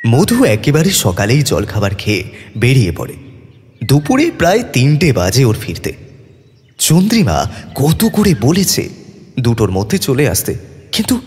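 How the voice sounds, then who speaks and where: A man narrating an audio story in a dramatic, scripted style with expressive pauses. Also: mid-range voice, in a large hall. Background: clear background music.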